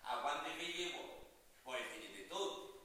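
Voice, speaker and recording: A man's voice: on the high side, animated, moderate pace, pushed and bright. A man playing a bitter, defiant vigilante prisoner in a stage monologue, confronting a judge with sarcastic, rhetorical questions. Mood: angry, taunting. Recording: echoey noisy hall from far off.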